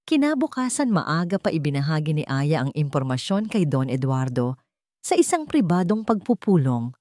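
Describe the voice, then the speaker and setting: A woman's voice: low voice, very animated, moderate pace, mellow, dry recording, studio quality. A woman with a Filipino accent reading a scripted dramatic audio story as its storyteller.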